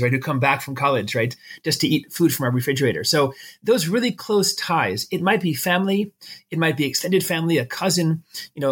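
A man's voice: on the high side, fairly bright tone, breathy edge. A middle-aged man with an American accent, explaining things conversationally with everyday examples as an expert interview guest.

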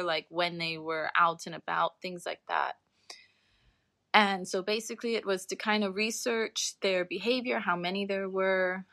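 Young female researcher as podcast guest: lower-pitched, bright forward voice, informal and explanatory, close dry sound. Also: dry recording. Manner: informal, explanatory, self-qualifying. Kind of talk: casual interview talk explaining research.

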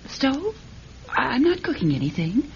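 An actress playing a meek, fussing housewife in a classic radio drama, chatty, apologetic and easily bewildered. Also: female voice, sits high, wildly swooping pitch, unhurried, gravelly, heavily acted. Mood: flustered. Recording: muffled, noisy old radio broadcast.

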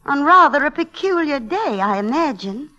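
A gentle wife in a vintage radio comedy. The voice is very high, hesitant and tactful, sweetly humoring a husband through a muffled old recording. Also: female voice, big pitch swings, moderate pace, heavily acted. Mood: politely puzzled. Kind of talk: 1940s radio comedy acting.

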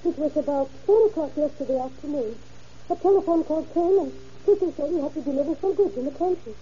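An actress playing a terse, subdued baker's wife in a 1930s radio drama. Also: female voice, sits high, animated, quick pace, dark tone. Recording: dull, muffled, hissy 1930s radio recording.

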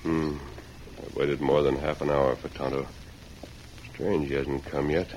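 An actor playing a calm, resolute cowboy lawman hero in an old-time radio western, speaking in a measured, formal, authoritative way. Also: rumbling low. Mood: watchful. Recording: noisy vintage radio drama recording.

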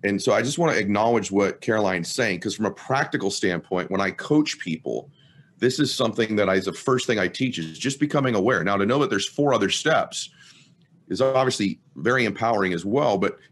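A man hosting an interview in a conversational style. His voice is on the low side, and he reflects aloud at length while affirming his guest's points. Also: lively intonation, a little fast, fairly bright tone. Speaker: podcast host.

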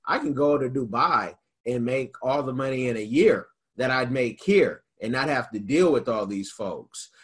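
A man's voice: medium pitch, expressive, moderate pace, few pauses, a bit bright, husky. A man with an American accent hosting a casual interview, asking long, rambling questions in a conversational way. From United States. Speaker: interview host.

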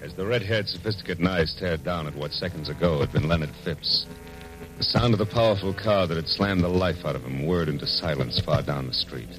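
An actor playing a cynical, tough-talking private detective in the wry, slangy manner of 1940s radio noir. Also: male voice, low voice, brisk, natural voice, rough recording. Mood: grim, wry narrating. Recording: old 1940s radio broadcast, music behind.

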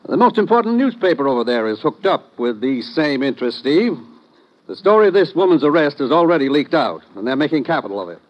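A stern commissioner. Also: male voice, fairly high pitch, big pitch swings. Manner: brisk, authoritative. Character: stern government commissioner briefing his agent. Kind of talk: 1950s radio drama acting. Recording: dull old radio recording.

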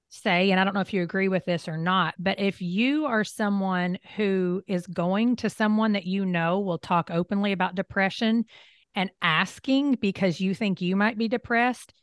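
A woman's voice: mid-range voice, moderate pace, smooth, dry recording, clean studio sound. A woman hosting a conversational interview, talking in an open, affirming way. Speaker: host.

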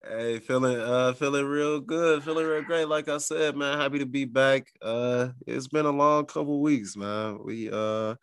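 A man's voice: medium pitch, a little slow, few pauses, fairly bright tone, clean tone, no echo. A man with a congested, stuffed-up nose hosting a podcast in casual, profane chat, often laughing. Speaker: podcast host.

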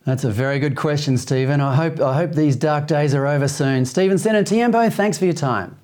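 A man's voice: on the high side, fairly quick, a little mellow. A man with an Australian accent hosting a talk show, chatting with a guest and then reading news commentary. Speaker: host.

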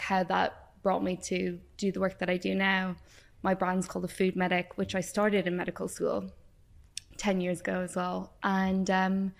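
A woman's voice: mid-range voice, creaky. An Irish woman in her thirties, a doctor and nutritionist, answering interview questions thoughtfully with many fillers. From Ireland. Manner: thoughtful, with many likes and ums. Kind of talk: podcast interview.

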